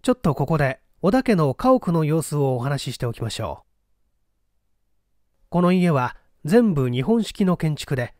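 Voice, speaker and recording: Lively, dry-sounding male story narrator. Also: fairly high pitch, moderate pace, no room sound. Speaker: audiobook narrator, small-theatre stage actor. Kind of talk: scripted narration, mystery novel read aloud.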